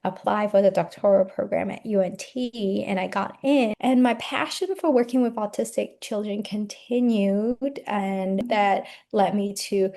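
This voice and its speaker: Dark-toned woman. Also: a little high. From Hong Kong. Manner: earnest, reflective, with frequent restarts. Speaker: guest play therapy expert. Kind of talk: podcast interview answers.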